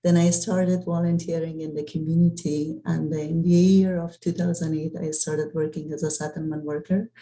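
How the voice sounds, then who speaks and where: Low gravelly woman, steady introduction. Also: moderate pace, few pauses, rough recording. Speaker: settlement counselor, guest. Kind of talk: prepared self-introduction. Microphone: video call mic.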